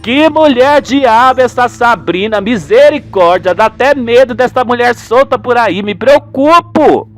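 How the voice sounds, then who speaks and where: A man's voice: very high-pitched, expressive, unhurried, hardly any pauses, faint music behind, pitched above the performer's own voice. A man voicing an anxious, outraged Brazilian wife in a radio soap opera, with a dramatic, scandalised manner. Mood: fretful, scandalised.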